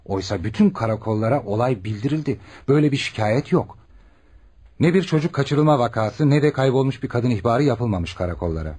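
An earnest junior male doctor in a radio drama. His delivery is lively and emphatic, in a mellow, rather husky voice. He reasons things out deferentially but keenly. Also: mid-range voice, a little fast. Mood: earnest, indignant. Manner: emphatic, explanatory.

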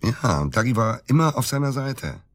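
An actor playing a bitter, agitated middle-aged widower in a naturalistic radio drama, halting and repeating himself for emphasis. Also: male voice, mid-range voice, very animated, natural voice. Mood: agitated, accusing.

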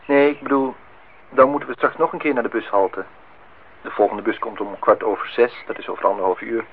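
An actor playing a polite, lonely host in a radio play, formal and slightly insistent. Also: male voice, medium pitch, fairly even intonation, fairly quick, natural voice. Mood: hesitant, matter-of-fact. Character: polite, lonely man hosting a young woman at his lakeside house. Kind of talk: Dutch radio play acting. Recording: noisy, tinny old radio recording.

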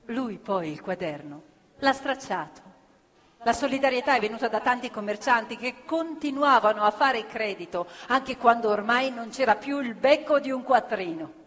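A woman's voice: on the high side, expressive, normal speed, a bit bright. Earnest woman narrating on stage. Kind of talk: theatrical storytelling. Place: theatre stage. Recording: live theatre with long reverb. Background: live violin and piano.

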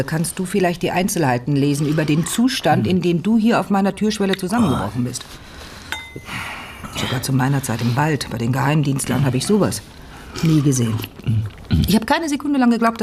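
A woman's voice: fairly low pitch, sing-song, fairly quick, a little mellow, a little hiss or hum. A firm, caring woman, slightly distant. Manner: matter-of-fact, firm. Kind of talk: German radio drama acting.

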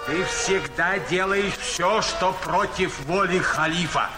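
A stern, brusque palace guard barking orders. His voice is high and sounds roomy, with loud music behind. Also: slow. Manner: brusque, commanding. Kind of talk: audio fairy tale acting.

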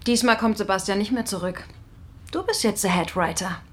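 A woman's voice: medium pitch, fairly quick, slight background noise, close to an everyday voice. An actress playing a resigned female colleague who speaks coolly and with measure in a radio drama. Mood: cool, congratulatory. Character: resigned, cool female colleague, quietly warning. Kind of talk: naturalistic radio drama dialogue.

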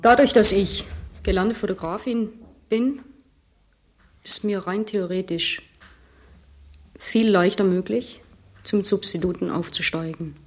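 A woman's voice: mid-range voice, measured pace, long pauses. A woman working as a saleswoman, explaining her job in an interview in a matter-of-fact way. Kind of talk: interview answer.

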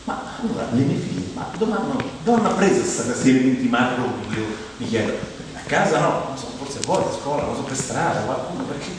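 A middle-aged man with a Roman Italian accent delivers a humorous theatrical monologue in a conversational, expressive way, pausing for effect. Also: medium pitch. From Rome, Italy. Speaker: comic monologist. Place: theatre stage in front of an audience. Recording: very echoey hall, distant mic, noisy.

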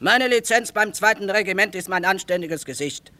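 A woman's voice: medium pitch, animated, moderate pace, sharp tone. An actress playing a shrewd, defiant middle-aged mother who peddles in wartime, blunt and dry-witted, in a German radio play. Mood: defiant, tart.

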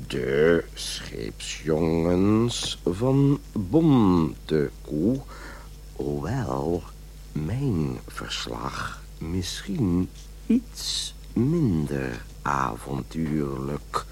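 A radio play character: a pompous, fussy would-be writer. He dictates slowly in a low voice that swings widely in pitch, muttering corrections to himself over a faint hum. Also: vocal fry, heavily acted. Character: fussy, self-important henpecked man writing his journal.

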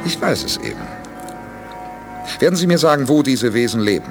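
An actor playing an assured, decisive space commander in a science fiction radio drama. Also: male voice, mid-range voice, big pitch swings, fairly quick, over music. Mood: probing. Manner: assured, directing others. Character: decisive male space commander, confident, probing leader. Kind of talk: 1980s German radio drama acting.

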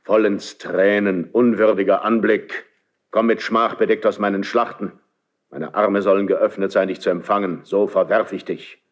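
A man's voice: lowish voice, flat delivery, normal speed, lo-fi sound. A man playing a stern, lonely ageing king in classical verse radio drama, speaking in a solemn, declamatory way. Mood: stern, rejecting.

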